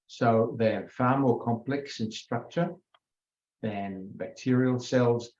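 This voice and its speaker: An older man giving an informal biology lecture, halting with many fillers and self-corrections. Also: medium pitch, dark tone. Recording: echoey room, dull lo-fi sound.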